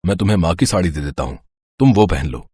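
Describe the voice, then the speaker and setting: A man playing a gentle, sweet-talking young suitor in a dramatised story, soothing and coaxing. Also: low voice, animated, quick pace, slightly rough, no room sound. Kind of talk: dramatised Hindi moral story.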